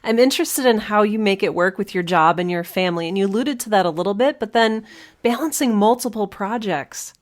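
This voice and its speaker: A woman with a medium-pitched voice hosts a conversational podcast interview. She talks casually and responds to her guest with effusive encouragement. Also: fairly quick. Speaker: podcast host interviewing a writer.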